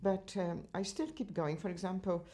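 An older woman from Poland with a Polish accent, chatting about her volunteer work in an interview, laughing often and speaking in halting, non-native sentences. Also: lowish voice, fairly even intonation, warm tone. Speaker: interviewee, cultural association chair. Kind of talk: reminiscing interview answers. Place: living room at home, indoors.

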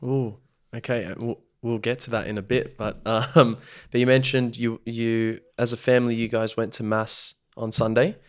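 A man co-hosts a casual podcast and asks his guest questions. His voice sits at an ordinary middle pitch. His delivery is chatty and light. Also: level tone, moderate pace, smooth. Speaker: podcast co-host.

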